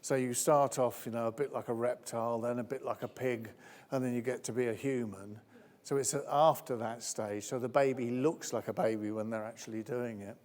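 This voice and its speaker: A man's voice: fairly even intonation, normal speed, darkish tone. A man presenting a conference workshop, chatty and jokey as he answers questions from the audience. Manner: jokey, chatty, jumps to high squeaky voices when acting out others. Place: conference hall. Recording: long reverb of a large hall.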